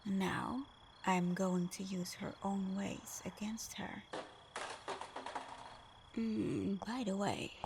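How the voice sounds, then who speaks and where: A woman's voice: mid-range voice, a little mellow, a little hiss or hum. A woman playing a sly, flirtatious supervillain in a scripted ASMR roleplay, murmuring breathily with teasing hums. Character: flirtatious, sly female supervillain teasing her hero nemesis.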